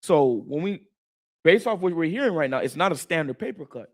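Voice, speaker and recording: Guy breaking down scripture, kinda high voice, lively, trips on words sometimes. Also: a little fast. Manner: animated, laughs easily, stumbles over words. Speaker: co-host teaching scripture. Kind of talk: casual Bible study talk.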